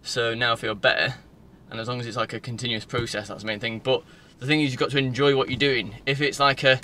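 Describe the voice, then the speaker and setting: A young Northern English man talks casually to his phone in a car. His voice is bright and sits at a middling pitch. He rambles informally from one thought to the next, with faint background noise in the recording. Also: steady tone, quick pace. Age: 20s. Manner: informal, loose, digressive. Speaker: vlogger. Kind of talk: casual rambling vlog chat. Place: inside a parked car. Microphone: phone at arm's length.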